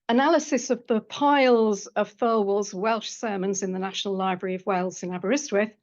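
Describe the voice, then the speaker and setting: A woman historian reading out a prepared seminar paper in a measured way, with dry asides. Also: mid-range voice, dull audio. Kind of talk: academic lecture read from a prepared paper. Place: lecture room at a college.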